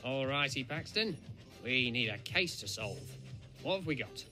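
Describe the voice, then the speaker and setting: A man's voice: mid-range voice, sing-song, measured pace, over music. A man voicing a vain, boastful red steam engine playing detective in a fan cartoon dub, pompous and huffy. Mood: self-assured. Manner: pompous, huffy, self-assured. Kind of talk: fan comic dub voice acting.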